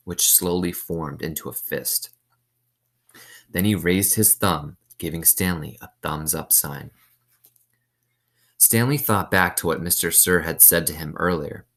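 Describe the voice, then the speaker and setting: Low-voiced man reading a story aloud. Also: fairly bright tone. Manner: explains simply, asks listeners questions. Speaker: reader of a children's novel. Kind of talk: read-aloud storytelling with explanatory asides.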